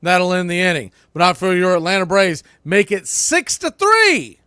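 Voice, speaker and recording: A man calling baseball play-by-play live, in clipped pitch-by-pitch phrases. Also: huge pitch swings, normal speed, sharp tone, clean tone, no room sound, clean studio sound. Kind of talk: live sports commentary.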